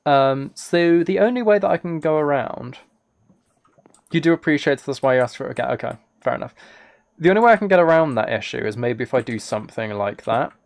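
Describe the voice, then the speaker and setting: A man's voice: mid-range voice, expressive, brisk, vocal fry. Man chatting casually on a live game stream. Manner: rambling, thinking aloud, chatting with viewers. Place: indoors at a computer, keyboard clicks behind.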